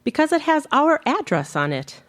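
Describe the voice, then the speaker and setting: A woman's voice: high-pitched, very animated, normal speed. A woman playing a mild, evasive mid-century housewife in a live radio play, sounding innocent and vague. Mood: puzzled.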